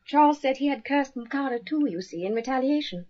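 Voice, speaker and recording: An actress playing an anxious, affectionate genteel colonial wife, polite and confiding, in a radio drama. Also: female voice, sits high, animated, a little fast, darkish tone. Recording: dull lo-fi old radio recording.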